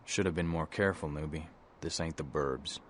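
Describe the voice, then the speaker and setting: Cool tough street rebel in a game, low voice, short n blunt, bit of background noise. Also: male voice, moderate pace. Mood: stern advice. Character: tough, laconic, streetwise male rebel fighter. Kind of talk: video game voice acting.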